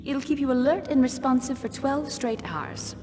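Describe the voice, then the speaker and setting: An actress playing a cool, precise military scientist in a video game, explaining things matter-of-factly. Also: female voice, high-pitched, animated, moderate pace. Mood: clinical. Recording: noisy game audio with long hall reverb.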